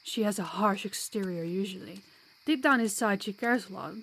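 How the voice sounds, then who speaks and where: A woman's voice: mid-range voice, normal speed, a bit bright, a little hiss or hum, close to an everyday voice, rough recording. A woman playing a sociable, kind vampire guide in an audio roleplay, chatty and reassuring with a playful streak. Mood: curious, wry.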